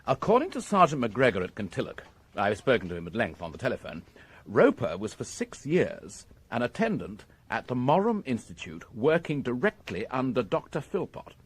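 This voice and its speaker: British police inspector in radio drama, bit husky, lively intonation, formal n precise, methodical type. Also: male voice, medium pitch, moderate pace. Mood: measured, formal. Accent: British English. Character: methodical, courteous male police inspector reporting his findings.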